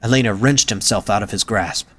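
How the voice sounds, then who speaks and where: A man's voice: fairly low pitch, brisk, a bit bright. A man reading scripted narration for an audio drama as its narrator.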